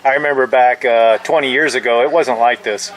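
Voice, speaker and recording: A man's voice: mid-range voice, fairly even intonation, a little fast. A man chatting and grumbling casually over a two-way radio. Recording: clearly noisy two-way radio signal.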